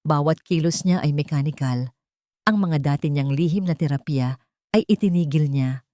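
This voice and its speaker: A woman's voice: sits low, normal speed, dark tone, dry recording, clean studio sound. A woman narrating a scripted dramatic story in an earnest, suspenseful storytelling manner. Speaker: audiobook narrator.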